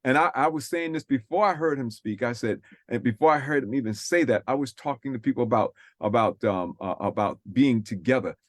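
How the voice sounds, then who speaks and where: A man's voice: medium pitch, fairly quick, hardly any pauses, no room sound, studio quality. A man from New City, hosting a radio faith talk, earnest and preacherly, repeating his phrases for emphasis. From New York City. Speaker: radio show host.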